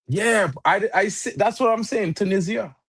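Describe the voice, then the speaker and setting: A man's voice: high voice, normal speed, fairly bright tone, breathy edge. A man who is not a native English speaker, giving casual live football commentary and chat in slangy talk peppered with "bro". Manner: slangy, says "bro" often. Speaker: football watchalong co-host.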